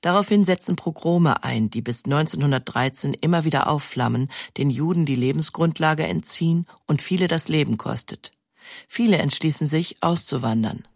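A man's voice: a little high, normal speed, vocal fry, dry recording, studio quality. A man reading scripted documentary narration.

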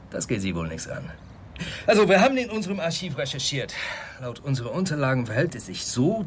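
An actor playing an affable but guarded male embassy official in a naturalistic radio play, speaking politely and in a measured way. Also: mid-range voice, slight background noise, lightly acted. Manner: polite, measured, stumbling over a foreign place name.

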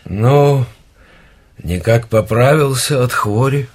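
An actor playing a kindly monk in a radio play, teasing with drawled mock reproach. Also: male voice, mid-range voice, takes it slowly. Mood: playful chiding. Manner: drawling, playfully chiding. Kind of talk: radio drama acting.

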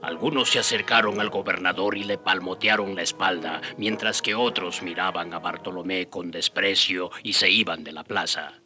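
An actor playing a garden worker who looks back and narrates his friend's story in a measured radio drama style. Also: low voice, steady tone. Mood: reflective. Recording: loud music under the narration.

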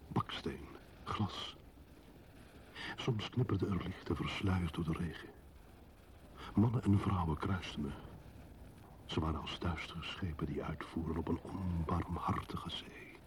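An actor playing a brooding, lonely man in a poetic radio play monologue, solemn and halting, with breathy phrase endings. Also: low voice, darkish tone. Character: brooding lonely man wandering rainy city streets.

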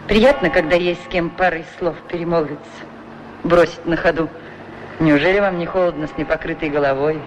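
A woman's voice: deep voice, fairly even intonation, a little fast, close to an everyday voice. Woman acting a lonely, caring woman, noisy recording. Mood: wistful, caring. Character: lonely, solicitous woman with unreturned affection. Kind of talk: 1960s radio play acting. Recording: noisy vintage radio recording.

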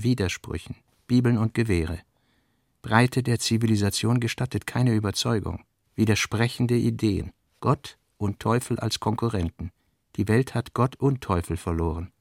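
A man with a gravelly, creaky voice reads literary notes for a radio production. The sound is dry and close, with no room around it. His delivery is deliberate and clipped, setting out fragments and theses one by one. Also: medium pitch, dry recording. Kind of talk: scripted literary radio reading, essayistic and fragmentary.

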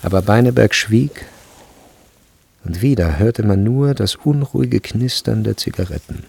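A man narrating a literary radio drama in German as a sober storyteller, in a measured, grave manner. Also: on the low side, creaky, darkish tone, slightly rough, exaggerated voice. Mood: grave, tense. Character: sober literary narrator of a tense boarding-school novel.

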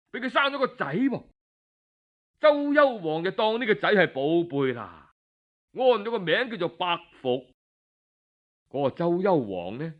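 Cantonese guy doing old-style storytelling, highish voice, takes his time, colloquial and expressive, kinda muffled lo-fi audio. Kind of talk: Cantonese traditional storytelling narration of historical tale. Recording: dull lo-fi audio.

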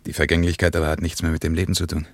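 An actor playing a reflective middle-aged German traveller and war veteran, who speaks thoughtfully and pauses to weigh his words. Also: a bass voice, flat delivery, no room sound.

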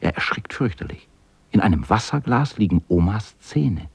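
A man narrating a children's radio play as a calm storyteller with a husky voice. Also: on the low side, normal speed, no echo, exaggerated voice. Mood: storytelling.

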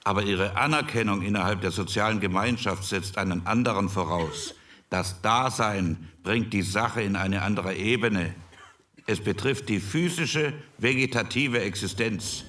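Low, dignified male delegate. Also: bright, forceful tone, far from the mic. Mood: dignified, measured. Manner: formal, measured, declarative. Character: critical, dignified male delegate in constitutional debate. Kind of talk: scripted docudrama debate.

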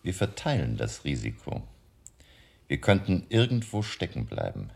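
An actor in a German radio drama, playing a grave, resolute professor who leads a vampire hunt. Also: male voice, rumbling low, huge pitch swings, normal speed, vocal fry, a little mellow, slightly rough, heavily acted. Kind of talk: German radio play acting.

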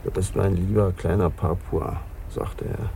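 An actor in a German radio crime drama playing a wounded, lonely Papuan man confessing with bitter resignation in a gravelly, subdued voice. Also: sits low, fairly even intonation, a little slow, warm tone, slight background noise. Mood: subdued confession.

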